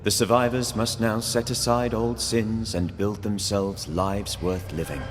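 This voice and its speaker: A man acting as the grave narrator of a dark ghost tale, in scripted dramatic style. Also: lowish voice, fairly even intonation, unhurried, noisy background, close to an everyday voice. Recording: long reverb, music underneath.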